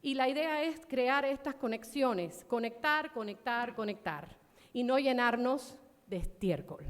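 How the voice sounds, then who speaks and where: Woman giving a fired-up conference talk, kinda high voice, lots of ums, big echoey hall. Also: a little slow. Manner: passionate, emphatic; many fillers and restarts. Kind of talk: conference presentation. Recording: long hall reverb.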